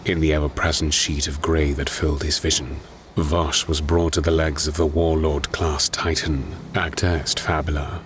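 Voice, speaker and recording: A man giving grave, deliberate, dramatic narration of a scripted audio story. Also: extremely low pitch, flat delivery, slight background noise. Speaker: narrator and voice performer.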